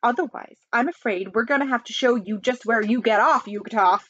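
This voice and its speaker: A woman voicing an excitable, childish, blustering male president in a storybook reading, loud and indignant. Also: on the high side, fairly quick, highs cut off. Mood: agitated, cocky.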